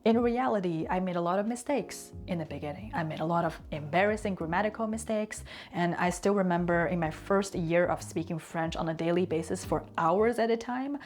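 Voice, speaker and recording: A woman whose first language is Chinese, giving an earnest, reflective talk on consistency and slowing down to stress key words. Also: lowish voice, a little mellow, soft background music. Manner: conversational asides and fillers. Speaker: language and culture teacher.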